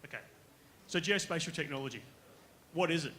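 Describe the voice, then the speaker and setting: A man from Western Australia with an Australian accent, giving a conference pitch as a company founder, casual and conversational, often checking in with the audience. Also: a little fast, bright, forceful tone, a little hiss or hum. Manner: casual, conversational, with frequent tag questions. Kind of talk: conference presentation. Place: conference stage.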